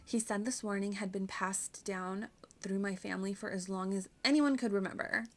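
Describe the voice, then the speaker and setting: A woman's voice: mid-range voice, fairly even intonation, normal speed, close to an everyday voice. Earnest young princess, read aloud by a woman. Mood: solemn. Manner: earnest, formal. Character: earnest, studious young princess exploring ancient ruins. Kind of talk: reading video game dialogue aloud.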